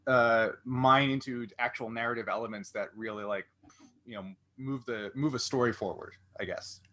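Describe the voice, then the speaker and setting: Male podcast host: bright, forward voice at average pitch, conversational, meandering as he works out a question. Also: fairly even intonation, moderate pace. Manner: rambling, thinks aloud in long questions. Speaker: podcast interviewer, game master. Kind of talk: casual conversational interview.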